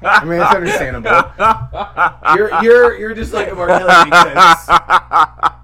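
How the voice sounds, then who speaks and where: A man's voice: high-pitched, lively intonation, unhurried, crowd noise. A man hosting a podcast in casual comedic chat, speaking in a profane, slangy, opinionated way. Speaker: podcast host. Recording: clearly noisy, humming background.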